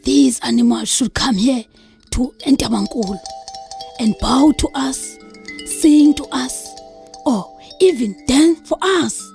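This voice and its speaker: High, creaky imperious spirit. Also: female voice, slow pace, darkish tone, faint music behind, full character voice. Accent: South African. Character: grand, imperious creator spirit. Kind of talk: children's audio theatre.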